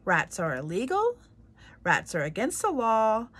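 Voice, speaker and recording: A woman's voice: a little high, wildly swooping pitch, a little slow, a bit bright, breathy edge, exaggerated voice. A woman voicing a grieving, blustering king who bellows indignantly. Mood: shouting.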